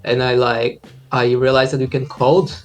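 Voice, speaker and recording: A man's voice: mid-range voice, flat delivery, normal speed, faint music behind. Brazilian-raised man, filler-heavy chat. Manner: hesitant, many fillers, easygoing. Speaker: indie game developer guest. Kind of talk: casual interview chat. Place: home room, indoors. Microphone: laptop video call.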